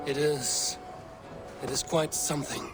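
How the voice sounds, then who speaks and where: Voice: medium pitch, steady tone, a little slow, music in the background, close to an everyday voice. A performer playing a wary, proud, brooding Viking warrior, terse and solemn, in a video game cutscene. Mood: troubled. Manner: terse, solemn, formal phrasing. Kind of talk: dramatic video game acting.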